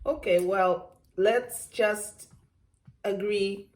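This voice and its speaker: A woman's voice: mid-range voice, very slow, a little mellow. A woman with a Canadian accent, born in Jamaica, co-hosting in playful, chatty banter and defending herself. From Jamaica, raised in Toronto. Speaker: co-host.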